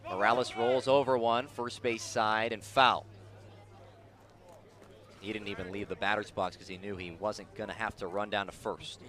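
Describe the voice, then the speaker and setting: A man's voice: lowish voice, normal speed. A man calling baseball play-by-play for live radio, describing each moment in detail and rising with the action. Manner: descriptive, rising excitedly on big plays. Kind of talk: live radio sports commentary.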